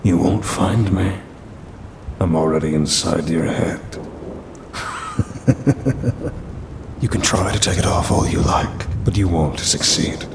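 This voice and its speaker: A man's voice: steady tone, normal speed, vocal fry. A man playing a smug, ancient demon trapped in a cursed necklace in an ASMR roleplay, with haughty, teasing, old-fashioned speech. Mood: smug, menacing. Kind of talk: ASMR audio roleplay acting. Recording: rumbling rain and thunder noise.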